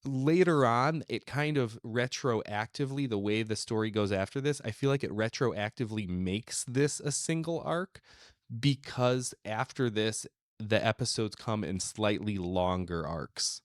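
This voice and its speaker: Guy on a podcast, lowish voice, kinda rambly thinking out loud, dry sound. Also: a little slow, dry recording. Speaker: podcast co-host. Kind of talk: casual discussion of an old radio serial.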